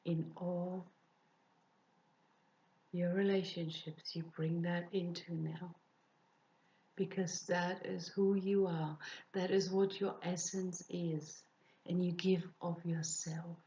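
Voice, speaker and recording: A woman leading a guided meditation, speaking softly and soothingly, often dropping into breathy whispers. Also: lowish voice, lo-fi sound. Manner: slow, soft, soothing with breathy whispered phrases.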